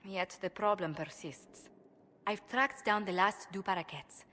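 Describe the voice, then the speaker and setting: A woman's voice: medium pitch, unhurried, pushed and bright. An actress playing a refined, earnest noblewoman diplomat in a fantasy game cutscene, polite, formal and articulate. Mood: earnest, diplomatic. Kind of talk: scripted video game cutscene acting. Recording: long hall reverb, faint background ambience.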